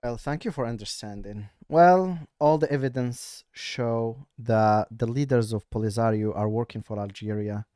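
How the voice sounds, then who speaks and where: A man with a Moroccan accent, live-streaming a casual, teasing chat, halting now and then with fillers. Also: mid-range voice, warm tone, smooth, no room sound, clean studio sound.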